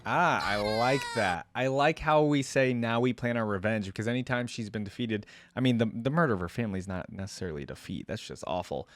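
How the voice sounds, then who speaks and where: A man's voice: medium pitch, expressive, a little fast, few pauses, soft background music. A man hosting a reaction video, giving casual, curious commentary and musing aloud.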